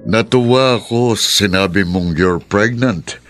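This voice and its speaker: An actor playing a well-off, demanding boyfriend in a Filipino radio drama, with a Filipino accent. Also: male voice, fairly low pitch, measured pace, music in the background, lightly acted. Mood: eager, puzzled. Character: well-off, demanding boyfriend, English-sprinkled speech.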